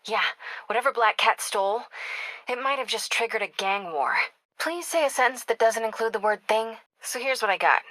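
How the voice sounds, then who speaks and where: An American actress playing a young woman reporter in a video game, caring, wry and quick-witted, in natural conversational dialogue. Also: on the high side, fairly bright tone, dry recording, lightly acted, rough recording. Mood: skeptical, focused.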